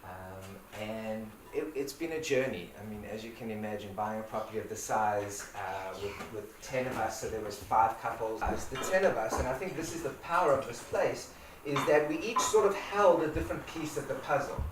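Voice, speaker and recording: A man's voice: mid-range voice, moderate pace. A man from Africa, speaking informally to a live audience, often repeating and restarting his words. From South Africa. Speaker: community owner giving a talk. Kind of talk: personal talk to an audience. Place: event space with a live audience. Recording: roomy echo, distant mic, background noise.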